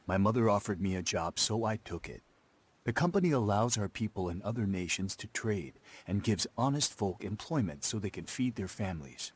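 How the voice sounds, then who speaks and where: An earnest young war veteran from a game cutscene, in a low, lively voice. He speaks with measured sincerity, like someone who holds to his principles. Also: husky. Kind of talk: video game cutscene acting and narration.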